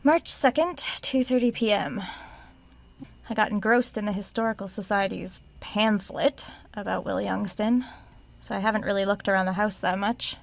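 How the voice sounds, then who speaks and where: Actress as uneasy woman diarist, faint creaky voice. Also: mid-range voice, moderate pace, natural voice. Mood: musing, intrigued. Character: uneasy woman researcher recording an audio diary in a haunted house. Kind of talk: horror audio drama, diary-style narration and reading aloud.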